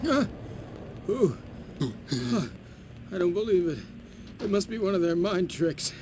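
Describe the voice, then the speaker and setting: An actor playing a drugged, frightened man held in an asylum in a game, halting, groaning and pleading. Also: sits high, big pitch swings, noisy background, exaggerated voice. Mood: pained, pleading. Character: drugged, frightened, suffering male captive in asylum. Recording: game audio with music behind.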